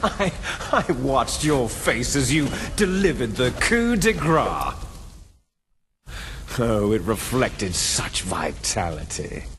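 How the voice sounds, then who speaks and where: Gloating villain with sweeping intonation. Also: male voice, medium pitch, big pitch swings, slow pace, fairly bright tone, a little hiss or hum. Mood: taunting, accusing. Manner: menacing, taunting, grandiose monologuing. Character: bitter, gloating villain, adult male clone soldier. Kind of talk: dramatic video game cutscene acting.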